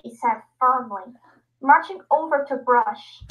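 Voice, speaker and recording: A woman's voice: high-pitched, a little slow. A woman reading scripted story narration and lines aloud as a dramatic reading. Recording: dull lo-fi sound.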